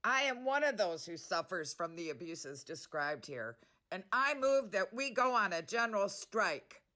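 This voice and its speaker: A woman's voice: mid-range voice, sing-song, measured pace, sharp tone. A woman voicing a defiant young garment-worker strike leader in a storybook, in a declamatory way. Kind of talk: read-aloud quotation.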